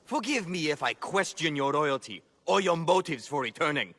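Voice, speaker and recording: A distrustful shinobi challenger speaks with a sharp, forceful edge. His voice is fairly high and rings in long reverb, in dramatic game style. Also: expressive, normal speed. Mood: accusing. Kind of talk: dramatic video game acting.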